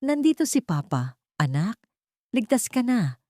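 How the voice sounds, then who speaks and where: A woman's voice: fairly high pitch, sing-song, measured pace, mellow, no room sound, clean studio sound, voice pushed up. A woman voicing an intense, grieving rich man and protective father in an audio story. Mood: tender, anguished. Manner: intense, restrained menace.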